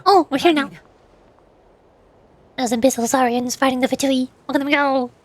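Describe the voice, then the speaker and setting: A woman's voice: high-pitched, steady tone, moderate pace, no echo. A woman streaming a game, chatting casually and playfully with her viewers. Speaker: VTuber streamer. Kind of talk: casual live-stream gameplay chat. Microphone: desk microphone.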